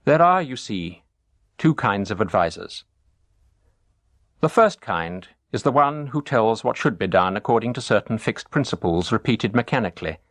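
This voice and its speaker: A man reading a teaching story as a scripted narration, measured and expressive. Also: fairly low pitch, no echo.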